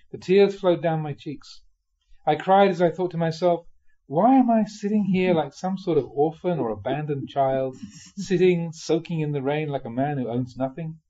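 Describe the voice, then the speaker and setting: A man reading a spiritual memoir aloud expressively, in a measured way with dramatic pauses. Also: fairly high pitch, dark tone, muffled recording. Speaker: reader of Buddhist teachings.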